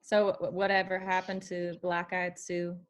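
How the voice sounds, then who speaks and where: A woman reading a wounded, sharp-tongued young country singer with folksy Southern phrasing in a screenplay table read. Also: fairly low pitch, completely flat delivery, moderate pace, close to an everyday voice. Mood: wry, probing.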